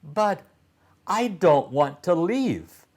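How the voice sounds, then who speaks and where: A man voicing an eager, curious young boy adventurer in a storybook, in an excited, questioning manner. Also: high voice, drawn-out words, pitched above the performer's own voice, exaggerated voice. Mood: pouting protest.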